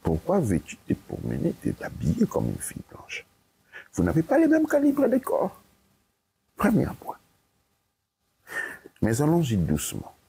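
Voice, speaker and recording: A man's voice: on the high side, huge pitch swings, a little slow, gravelly, pauses often, warm tone, slightly rough. A man giving lecturing interview answers, emphatic and repetitive, often checking with "ok?". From Italy. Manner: emphatic, repeats his points, checks with "ok?". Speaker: guest speaker.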